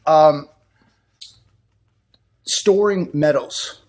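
A man's voice: on the high side, drawn-out words, a little mellow. A man hosting a talk show, passing questions to his guest with easygoing, brief prompts. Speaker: host.

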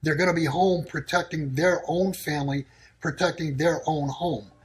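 A man's voice: fairly high pitch, steady tone, moderate pace, rough recording. A man speaking as a guest on a talk show, giving blunt, declarative warnings in short, clipped sentences. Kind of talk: casual talk-show answers. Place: in Texas.

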